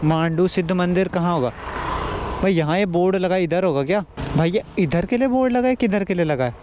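A man's voice: high voice, brisk, dull audio. A young man, vlogging casually and thinking aloud, with English words mixed in. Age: young adult. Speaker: motovlogger. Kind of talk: casual vlog chat. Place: outdoors on roads, riding a scooter and stopping. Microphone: action camera on helmet or handheld. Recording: noisy outdoor action-camera audio with vehicle sound.

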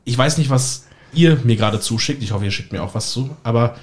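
A man's voice: mid-range voice, a little fast. A man, chatting casually as a magician guest on a podcast.

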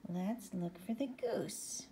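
A woman reading a picture book aloud to young children, gently and often hushed, asking the listener questions as she goes. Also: medium pitch, slow, slight background noise. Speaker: bedtime story reader.

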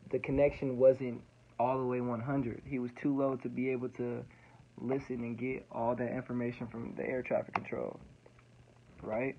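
A man's voice: medium pitch, little pitch movement, moderate pace. A young man in his twenties talks casually and slangily, circling back on his points as he explains. The sound is dull and lo-fi, recorded on a phone. Light hiss runs underneath. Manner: casual slangy, repeats himself. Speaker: student pilot explaining recordings. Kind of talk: casual explainer over playback.

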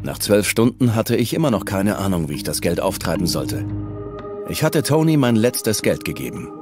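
A male actor plays a reckless young man in money trouble, defensive and frustrated. His voice is on the low side and moves up and down a lot as he recounts his side of a true-crime story, with music behind him. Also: sing-song, moderate pace. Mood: desperate. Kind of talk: dramatized true-crime testimony, scripted German dub.

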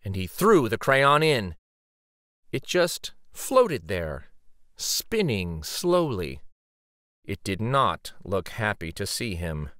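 A man narrates a children's story in a dramatic read-aloud style. He takes his time, leaving theatrical pauses for suspense. His pitch moves widely, and the sound is dry. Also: very animated, fairly bright tone, no echo. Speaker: picture book read-aloud host.

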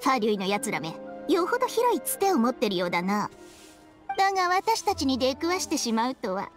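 A woman's voice: high voice, big pitch swings, fairly quick. A woman voicing a brash, haughty little creature companion in an anime game. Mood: smug scorn. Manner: brash, boastful. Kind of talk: anime video game voice acting. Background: background music.

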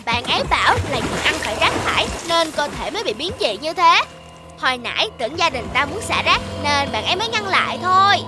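Clever lil cartoon girl, super high, bouncy and eager, loud music behind. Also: very animated, brisk. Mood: earnest. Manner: eager, earnest, childlike. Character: bright, brave, clever little girl inventor.

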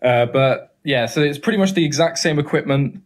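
A man's voice: a little high, normal speed. A young man in his twenties, a fitness vlogger, chatting upbeat through a home gym tour, filmed on a handheld phone in a small bedroom. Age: 20s. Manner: upbeat, chatty, many fillers. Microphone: handheld phone camera.

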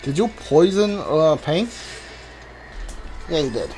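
A man's voice: on the high side, big pitch swings, slow pace, a little mellow, soft background music, low-quality recording. A man streaming a video game with casual commentary, thinking aloud in fragments and talking to the characters. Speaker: video game streamer playing a blind playthrough.

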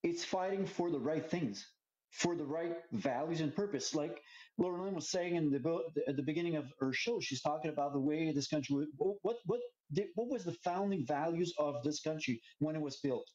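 A man's voice: a little high, normal speed, darkish tone, reverberant, muffled recording. A middle-aged man from Quebec, a native French speaker talking in English with a French Canadian accent, speaking earnestly with many fillers as a guest on a video call. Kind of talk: casual chat.

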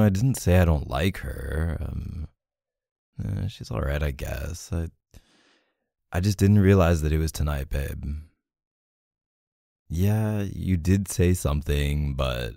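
Very deep, creaky possessive young boyfriend, acted by a man. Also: dark tone, no room sound, clean studio sound, heavily acted. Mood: reluctant, disappointed. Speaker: roleplay voice actor. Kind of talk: intimate ASMR boyfriend roleplay.

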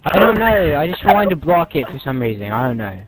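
Young man, fairly high voice, prank caller, crude and taunting, music behind. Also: big pitch swings, brisk, poor audio. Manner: crude, foul-mouthed, taunting. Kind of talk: prank phone calls, casual chat.

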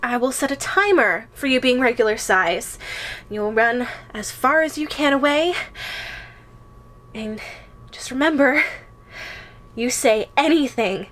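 A woman's voice: high voice, unhurried, long pauses, a bit bright. A woman voicing a possessive yandere giantess in an ASMR roleplay, coy and giggly, with hesitant menace. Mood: hesitant, threatening.

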